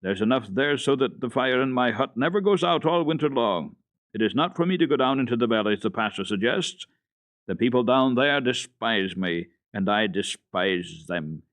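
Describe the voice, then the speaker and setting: A man's voice: mid-range voice, expressive, moderate pace, a bit bright, dry recording, voice pushed up, lightly acted. A man voicing a stubborn, bitter old mountain grandfather in a storybook reading, speaking firmly and bluntly. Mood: proud, firm. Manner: decided, firm, blunt.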